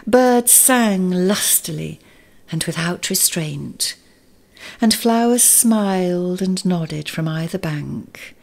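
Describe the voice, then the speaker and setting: A woman reading a classic animal story aloud in a soft, gentle, often breathy way. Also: on the low side, slow. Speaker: reader.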